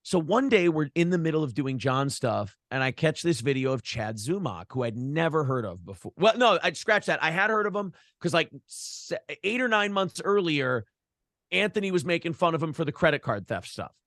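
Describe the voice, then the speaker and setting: A man's voice: fairly high pitch, lively intonation, moderate pace, fairly bright tone, no room sound, clean studio sound. An American man chatting casually as an interview guest, telling rambling stories in a blunt, profane way. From Minnesota, United States. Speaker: podcast and morning show host, interview guest. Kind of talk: casual interview chat, storytelling.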